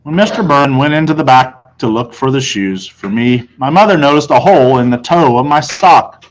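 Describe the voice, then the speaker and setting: A man narrates a children's novel in the first person. His voice sits at a middle pitch, and he reads it aloud in the way of a teacher sharing a story. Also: lively intonation, moderate pace, rough recording.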